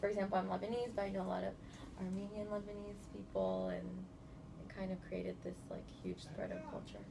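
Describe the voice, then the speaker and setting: A woman from California in her thirties, answering interview questions in a relaxed, chatty way with lots of fillers. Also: medium pitch, a little fast, creaky. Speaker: guest. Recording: noisy indoor recording.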